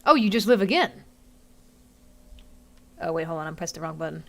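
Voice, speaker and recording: A woman streaming a game live, chatting excitedly and giggling as she plays. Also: deep voice, brisk, vocal fry. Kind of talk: casual live gameplay commentary.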